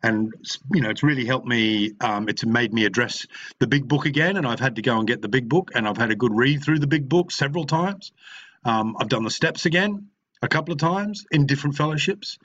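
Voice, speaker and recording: Aussie bloke sharing at a meeting, slow halting restarts, kinda muffled lo-fi sound. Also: male voice, medium pitch, expressive, fairly bright tone. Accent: Australian. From Australia. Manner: halting, with many restarts and pauses. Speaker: recovery meeting speaker. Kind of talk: personal share at a support meeting.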